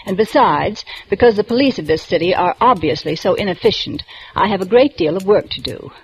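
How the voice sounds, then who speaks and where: A prim young librarian, as an actress plays her in an old radio drama. She speaks with precise, proper diction in a middling voice and turns quickly indignant. It sounds like a 1940s radio play. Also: medium pitch, expressive, lightly acted, low-quality recording. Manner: primly precise, a little indignant.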